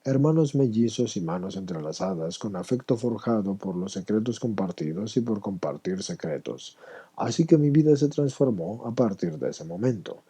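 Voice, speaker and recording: Very dark, husky-voiced man reading aloud. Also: lowish voice, lively intonation, normal speed. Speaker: reader of a written testimony. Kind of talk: reading a written first-person recovery story aloud.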